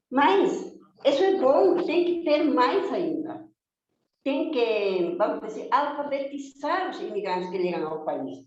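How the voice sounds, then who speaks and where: A non-native woman speaks with fierce conviction, hammering her points home through rhetorical questions and long lists. Her voice sits a little high and rings with heavy echo. The recording is dull and lo-fi, with faint noise underneath. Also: darkish tone. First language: not Portuguese, learned it on her own. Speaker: guest speaking for domestic workers. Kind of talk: impassioned spoken testimony. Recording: very echoey, dull lo-fi remote audio with faint noise.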